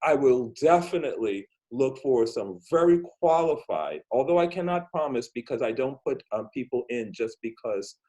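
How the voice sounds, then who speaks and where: A man's voice: medium pitch, animated, normal speed, hardly any pauses, warm tone, poor audio. A man hosting a children's storytelling interview series who speaks earnestly and thinks aloud with fillers and restarts, talking over a video call. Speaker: host.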